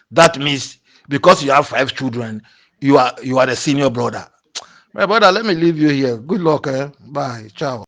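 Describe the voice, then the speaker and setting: Brief: man, fairly high voice, heated repetitive voice-note rant. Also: a bit bright. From Ghana. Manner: indignant, repetitive, stumbling restarts. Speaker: voice note sender. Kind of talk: recorded voice message rant.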